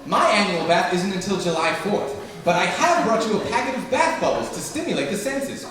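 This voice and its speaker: A man's voice: high-pitched, very animated, a little fast. A man playing an eager, melodramatic young colonial nephew in old-time radio comedy style, with theatrical exclaiming diction. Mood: eager, polite. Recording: echoey room, distant mic, music.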